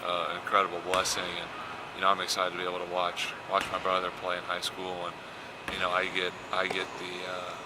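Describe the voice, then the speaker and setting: A man's voice: sits low, completely flat delivery, fairly quick. A young American man from Indiana in his twenties, answering interview questions casually as a college athlete, often repeating words. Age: 20s. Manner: repeats words, frequent "you know". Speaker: college basketball player. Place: basketball fieldhouse. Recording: clear ambient noise of a gym.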